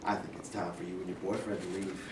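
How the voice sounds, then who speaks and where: A performer reading the part of a hot-tempered police officer who barks orders and shouts. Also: deep voice, level tone, fast, close to an everyday voice. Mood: harsh. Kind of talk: staged reading. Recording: echoey room, read from far off, noisy.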